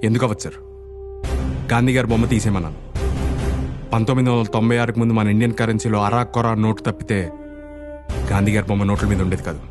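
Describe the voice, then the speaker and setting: Actor as low-voiced, sardonic, calm kidnapper. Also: male voice, fast talker, long pauses, lightly acted. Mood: insistent, reasoning. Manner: mock-polite, deadpan, reasoning. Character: calm, sardonic male everyman kidnapper making demands. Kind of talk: Indian film drama dialogue. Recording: film soundtrack with faint music.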